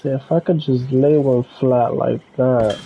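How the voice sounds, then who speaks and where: A man's voice: mid-range voice, a little slow, vocal fry, lo-fi sound, a little hiss or hum. An American man casually talking through his game build as a streamer, muttering to himself and chatting with viewers. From United States. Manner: relaxed, talks to himself while building, chats with viewers. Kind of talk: casual gameplay commentary.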